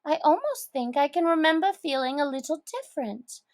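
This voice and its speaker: A woman's voice: high voice, sing-song, a little fast, pitched above the performer's own voice. A woman voicing a dreamy, fretful little girl who exclaims and muses theatrically to herself in a storybook reading. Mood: bewildered.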